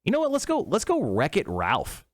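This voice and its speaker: A man's voice: high voice, wildly swooping pitch, moderate pace, dry recording, clean studio sound. A man co-hosting a casual entertainment talk show, often thinking aloud as he goes. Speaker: show co-host.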